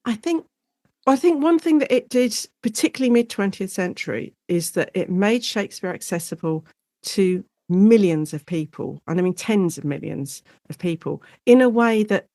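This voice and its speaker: A woman's voice: medium pitch, lively intonation, moderate pace, dark tone, no room sound. Chatty woman guest, close dry sound. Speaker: guest academic and author. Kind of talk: conversational interview, explaining.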